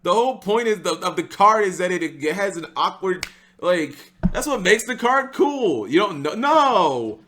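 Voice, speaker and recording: A man's voice: sits high, sing-song, normal speed, fairly bright tone, a little hiss or hum. A man giving casual live reaction commentary as a streamer, exclamatory and often repeating his phrases.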